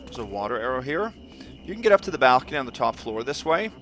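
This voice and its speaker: A man with a Norwegian accent, a native Norwegian speaker, calmly commenting on a stealth game as he plays and reading in-game notes aloud. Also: medium pitch, fast. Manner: calm, thoughtful, reads notes aloud plainly. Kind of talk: casual gameplay commentary.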